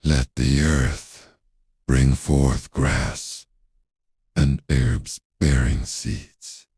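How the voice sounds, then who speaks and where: An actor voicing God as a majestic, commanding creator, with a solemn delivery and a very deep, husky voice. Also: male voice, fairly even intonation, extremely slow, a little mellow, no echo, clean studio sound, full character voice.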